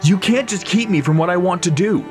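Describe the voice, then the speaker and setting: A man's voice: high-pitched, a little fast, music in the background, lightly acted. A man playing an idealistic, defiant teenage son with earnest delivery in an audio drama.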